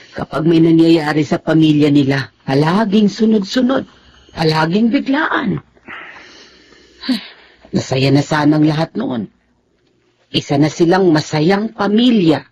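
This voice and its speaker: A woman's voice: low voice, animated, takes it slowly, pauses often, darkish tone, slight background noise, exaggerated voice. An actress playing a worried, sympathetic mother in a Tagalog radio drama, lamenting with sighs.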